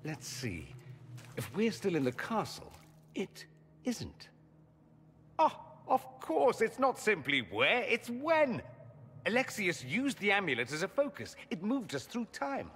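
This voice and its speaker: Witty mage: sardonic young male mage, higher, lively delivery, hall reverb. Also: breathy edge, heavily acted. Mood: curious. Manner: dry, theatrical, quick wit. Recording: game audio with hall reverb and music.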